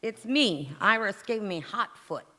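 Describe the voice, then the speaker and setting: Woman acting a frazzled, slow-talking housewife, echoey hall. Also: mid-range voice. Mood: dry, exasperated. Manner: dry, deadpan comebacks and flustered wailing. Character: frazzled, hapless housewife overwhelmed by a cooking disaster. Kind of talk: golden-age radio sitcom acting on stage. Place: large indoor hall, live stage performance. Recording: echoey hall, community theatre stage.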